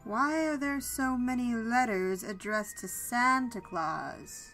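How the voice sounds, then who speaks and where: An actress plays a guarded woman executive in an audio drama. Her voice sits a bit high, and she speaks politely with awkward hesitation. Music plays beneath. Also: slow pace, a bit bright. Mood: puzzled. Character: reserved, awkward woman CEO hiding her identity. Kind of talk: audio fiction character dialogue. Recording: music under the voice.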